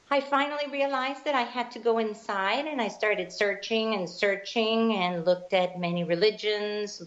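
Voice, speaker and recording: Woman telling her story, lo-fi. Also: medium pitch. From Guatemala. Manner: earnest, reflective storyteller with fillers. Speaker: guest, spiritual teacher. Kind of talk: storytelling interview answers. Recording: dull lo-fi line.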